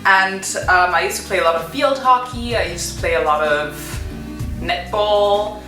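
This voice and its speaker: A woman's voice: mid-range voice, normal speed, pushed and bright, echoey room, soft background music, poor audio. A young woman from Zimbabwe telling her story in a chatty vlog, full of fillers. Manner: chatty, many fillers and "kind of". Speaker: vlogger.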